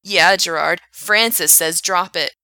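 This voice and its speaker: A man's voice: high-pitched, unhurried, pushed and bright, dry recording, exaggerated voice. Woman doing a nasal sneering young man. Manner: sneering, mocking. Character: sneering shady young male maintenance worker.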